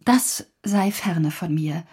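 An actress playing a genteel, melodramatic, impoverished wife, proud and fragile, with a plaintive manner in a German radio drama. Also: female voice, on the low side, takes it slowly, darkish tone, husky, full character voice. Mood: pained, discreet.

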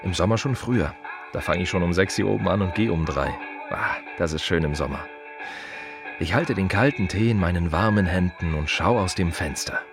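A man's voice: sits low, a little fast, music in the background. A man playing a world-weary, wry gravedigger in a radio play monologue, talking conversationally in short, emphatic, rhythmic repeated phrases. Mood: musing, wry. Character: world-weary, wry male gravedigger musing on death and the city.

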